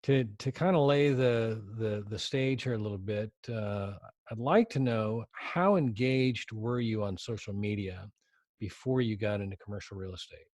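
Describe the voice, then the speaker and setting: Low-voiced chatty podcast host. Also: male voice, normal speed, darkish tone, no echo, studio quality. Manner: friendly, chatty, hesitant fillers. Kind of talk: podcast interview.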